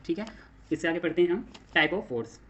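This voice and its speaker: Male Indian lecturer, higher-than-average voice, explanatory and repetitive, dull lo-fi audio. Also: animated, moderate pace, fairly bright tone. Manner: explanatory, repeats phrases and asks himself questions. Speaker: physics teacher for exam coaching. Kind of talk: classroom lecture mixing Hindi and English terms.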